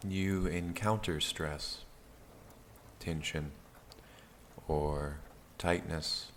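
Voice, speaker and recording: A man leading a guided meditation in a calm, soothing, gentle manner with long pauses. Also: sits low, creaky, slight background noise. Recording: steady rain sound behind the voice. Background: rain backtrack.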